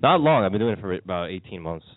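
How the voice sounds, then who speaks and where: A male guest comedian with a very low voice, answering earnestly and halting often. The sound is dry and narrow, like a phone call. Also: very animated, dry recording. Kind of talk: casual interview.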